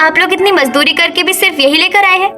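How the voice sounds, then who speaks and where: A woman's voice: extremely high pitch, fast talker, over music. A woman voicing a harried young village daughter-in-law in a cartoon, plaintive and scolding.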